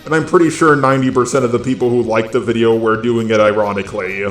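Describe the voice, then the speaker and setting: A man's voice: mid-range voice, steady tone, quick pace, roomy sound, faint music behind. A synthetic, robot-like man's voice reading scripted commentary as the host of a video. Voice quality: synthetic robot-like text-to-speech voice. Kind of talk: scripted drama commentary narration.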